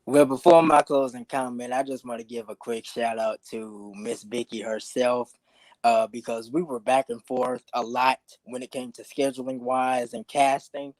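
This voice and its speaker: A man's voice: mid-range voice, fairly even intonation, moderate pace, a little mellow, dry recording, low-quality recording. A man speaking as a guest actor in casual, earnest conversation, telling things emphatically. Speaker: stage actor, show guest.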